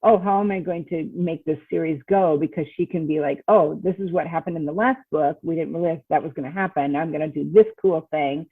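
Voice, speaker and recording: A woman's voice: low voice, a little fast, hardly any pauses, slightly rough, dry recording. Woman on a tinny phone-like line. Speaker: novelist guest on a podcast. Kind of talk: casual interview chat.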